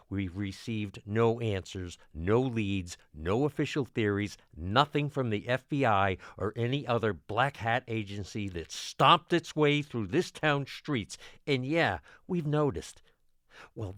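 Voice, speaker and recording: A man playing an exasperated, forceful small-town mayor in an audio drama, speaking bluntly and officially. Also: fairly low pitch, no room sound, studio quality. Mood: angry, demanding. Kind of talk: dramatic podcast acting.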